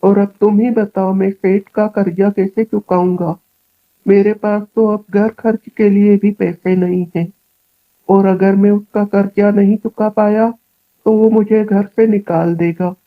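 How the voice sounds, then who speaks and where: A woman's voice: medium pitch, steady tone, measured pace, phone-quality sound, close to an everyday voice. A woman voicing a poor, honest male farmer in a cartoon village story, speaking earnestly. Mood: worried. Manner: earnest, pleading. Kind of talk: Hindi cartoon story acting.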